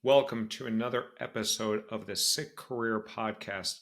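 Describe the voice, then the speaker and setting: A man's voice: fairly low pitch, steady tone, moderate pace, a bit bright. A man in his late forties hosting a solo career advice podcast, talking candidly and conversationally. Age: 40s. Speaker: podcast host.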